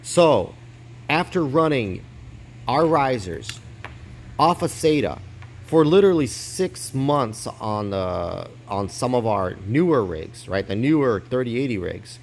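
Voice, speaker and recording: A man's voice: medium pitch, sing-song, slow pace, gravelly, slight background noise. Man casually explaining hardware on his phone. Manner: explains step by step, filming close-up with his phone. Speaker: crypto mining hardware explainer. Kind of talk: casual hands-on tutorial. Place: indoors at a desk. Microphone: phone held close, filming his hands.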